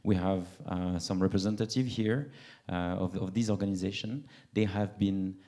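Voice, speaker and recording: Low mellow-voiced male moderator. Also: steady tone, normal speed. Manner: hesitant, many fillers and restarts. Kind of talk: conference panel moderation. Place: large conference hall. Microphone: handheld mic.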